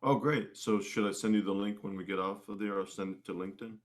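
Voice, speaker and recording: A man with a somewhat low-pitched voice speaks as an interview guest. He is a musician, and he talks in an informal, conversational way. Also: fairly even intonation, fast talker. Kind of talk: casual chat.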